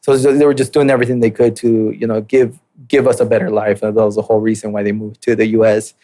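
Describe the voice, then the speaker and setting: A man's voice: on the low side, little pitch movement, fast, dark tone. A man in his late twenties, born in Mexico, telling his life story as a podcast guest in a laid-back, hesitant way, with many fillers and restarts. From Mexico, raised in southern California and Utah. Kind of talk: casual interview storytelling.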